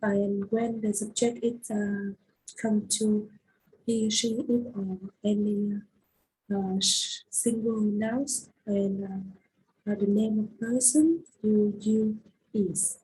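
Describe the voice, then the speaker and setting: A woman's voice: mid-range voice, fairly even intonation, slow pace, dark tone, slight background noise, poor audio. A young woman in her twenties with a Vietnamese accent, teaching a recorded grammar lesson with many hesitations and fillers. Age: 20s. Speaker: English teacher.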